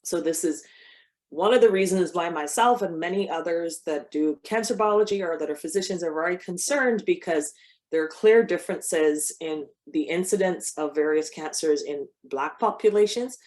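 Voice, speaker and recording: Low-voiced woman giving a talk. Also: a little mellow. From Barbados. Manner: conversational, with frequent restarts and fillers. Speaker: cancer biologist giving a talk. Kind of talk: personal talk to an audience.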